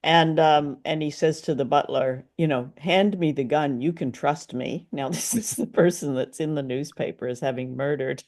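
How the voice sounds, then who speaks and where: A podcast co-host chatting casually about an old radio drama, lively and quick to laugh, leading with questions. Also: medium pitch.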